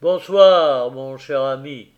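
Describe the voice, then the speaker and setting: A man reading aloud and voicing a sardonic, quick-tongued male toy merchant in a storybook. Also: mid-range voice, highs cut off, natural voice. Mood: smug. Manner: quick, mocking, brusque.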